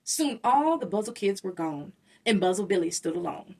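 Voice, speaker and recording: Woman, husky voice, very animated intonation, expressive rhyming storybook read-aloud, slightly distant microphone. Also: medium pitch, normal speed. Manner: expressive, dramatic emphasis. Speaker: storybook reader.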